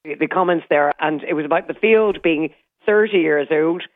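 A woman calling in for a castle hotel, telling its film history with animated excitement. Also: low-pitched, moderate pace. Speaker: caller, hotel representative. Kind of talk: phone interview. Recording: tinny phone line.

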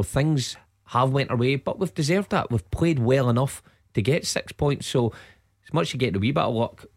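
A man's voice: mid-range voice, no room sound. A Scottish man giving football punditry on radio, upbeat and emphatic, on a close dry studio mic.

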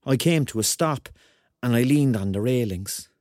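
An actor playing a rambling, excitable Cork man in his thirties, telling his story in a colloquial radio drama style. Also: mid-range voice, moderate pace, dry recording, clean studio sound, natural voice. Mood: wry storytelling. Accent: Cork Irish. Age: 30s. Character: rambling, excitable thirty-year-old Corkman on a surreal night out. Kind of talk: radio drama acting, first-person narration and dialogue.

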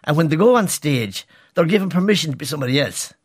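A man's voice: a little high, animated, fast talker, breathy edge, dry recording. A man with an Irish accent, a guest in a radio studio interview, speaking earnestly and with emphasis. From Ireland. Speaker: guest performer. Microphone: studio microphone.

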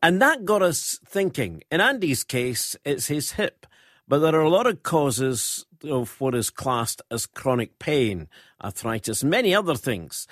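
A man's voice: mid-range voice, sing-song, unhurried, fairly bright tone, no room sound. Male radio host, dry studio sound. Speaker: radio talk show host. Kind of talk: radio phone-in presenting.

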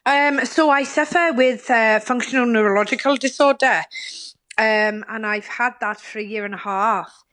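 A woman's voice: a little high, normal speed, fairly bright tone. A woman from Lincolnshire with a Welsh accent, a guest on a radio show, talking casually with plenty of ums. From Lincolnshire, England. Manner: chatty, many ums, laughs easily. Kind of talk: casual phone-in chat.

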